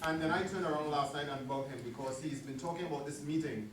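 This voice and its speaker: A man from Trinidad and Tobago with a Trinidadian accent speaks in a friendly, joking way to a neighborhood meeting. His fairly high voice carries from far off in a hall with heavy echo, and clear room noise sits underneath. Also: fast. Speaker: school principal, guest speaker. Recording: very echoey hall, distant mic, audible room noise.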